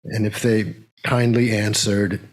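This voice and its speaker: A man with a fairly low voice speaks at a very unhurried pace to a congregation. His delivery is halting and plain, like someone leading a small service. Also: little pitch movement. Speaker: service leader and poem reader. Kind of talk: casual announcements and poetry reading to a congregation.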